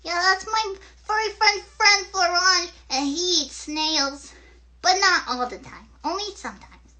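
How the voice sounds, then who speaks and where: A woman voicing a chatty, excitable little girl puppet in an interactive children's show, rambling eagerly like a child. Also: extremely high pitch, unhurried, heavily acted. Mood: playful, chatty.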